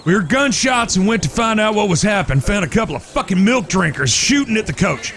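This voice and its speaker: An actor playing a gruff, protective eldest brother of Old West gunslingers, with a folksy American drawl and an authoritative way of talking. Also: male voice, high-pitched, animated, a little fast, a bit bright, a little hiss or hum. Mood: steady, reassuring. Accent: American, Southern or Western drawl. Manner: authoritative, folksy, plain-spoken. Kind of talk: Western audio drama acting.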